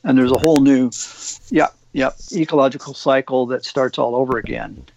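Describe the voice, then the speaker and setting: A man's voice: mid-range voice, fairly quick, creaky, a little mellow, dull audio. A man from Oregon co-hosting a casual discussion, rambling with many fillers and restarts.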